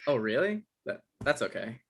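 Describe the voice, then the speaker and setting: A man acting an evasive, awkward novelist in a comedy-drama table read, halting and defensive, with stammers. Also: medium pitch, sing-song, a bit bright. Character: Michael, evasive, awkward novelist visiting his ex.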